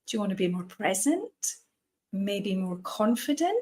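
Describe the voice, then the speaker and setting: A woman's voice: mid-range voice, big pitch swings, a little slow, a little mellow. Scottish woman giving an earnest motivational talk. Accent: Scottish, Edinburgh. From Scotland. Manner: earnest, asks rhetorical questions. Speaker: guest speaker, meditation teacher.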